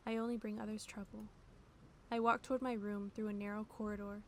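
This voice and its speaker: A woman streamer calmly reading game text aloud in a fairly monotone voice. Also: mid-range voice, a little fast, slight background noise. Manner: calm, fairly monotone reading with chatty asides to viewers. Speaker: VTuber streamer. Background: game music.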